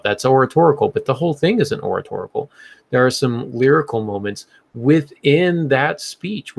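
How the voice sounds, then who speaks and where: A man's voice: fairly low pitch, creaky. A man co-hosting a podcast, thinking aloud as he explains in a casual panel discussion about writing. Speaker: podcast co-host.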